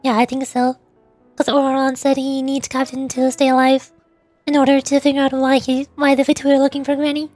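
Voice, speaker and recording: A woman streamer with a high voice chats casually while she plays a game. She talks in a hesitant, self-deprecating way, often halting mid-thought. Game music plays softly behind her. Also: monotone. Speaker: livestreamer, VTuber voice actor. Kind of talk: casual livestream chat while playing a game.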